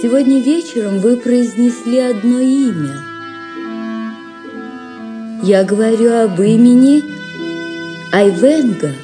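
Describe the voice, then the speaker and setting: A woman's voice: on the high side, expressive, takes it slowly, long pauses, over music. A woman acting a dignified, gracious young noble lady in a theatrical audio drama, speaking in a stately, formal manner. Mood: gracious, wistful.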